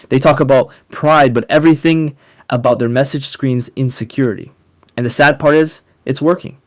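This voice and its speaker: A man delivering a polemical monologue as an online commentator, speaking emphatically and rhetorically with deliberate pauses on key words. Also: mid-range voice, darkish tone, no echo, clean studio sound. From North Africa. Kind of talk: polemical monologue to camera, partly scripted.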